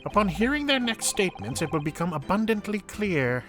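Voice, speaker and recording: A man voicing a pompous, scheming prosecutor in a video game fan dub, with theatrical, drawn-out delivery. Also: sits high, very animated, music in the background. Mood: smugly menacing.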